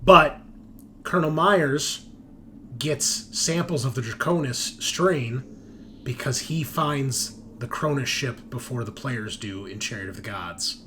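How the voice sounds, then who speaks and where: Casting: male tabletop game master, mid-pitched, casual explainer with emphatic bursts that tail away, some background noise. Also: takes it slowly, pushed and bright.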